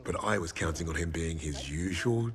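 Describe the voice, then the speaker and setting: An actor voicing a calm commander who narrates in a measured, reflective way. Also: male voice, low-pitched, very noisy. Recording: game cutscene with loud music behind.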